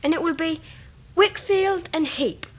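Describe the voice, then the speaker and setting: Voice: extremely high pitch, measured pace, fairly bright tone. A young performer playing a polite, earnest schoolboy in a radio drama, speaking courteously and candidly.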